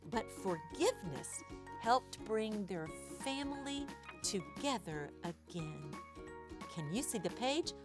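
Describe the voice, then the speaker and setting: A woman's voice: medium pitch, sing-song, slow, music in the background. A woman hosting an interactive read-aloud for young children, exaggerated and questioning as she addresses listeners directly. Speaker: children's storytime host.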